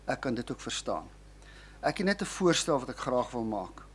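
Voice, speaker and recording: A man's voice: mid-range voice, sing-song, normal speed, a little hiss or hum. Afrikaans-accented man addressing council. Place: council chamber.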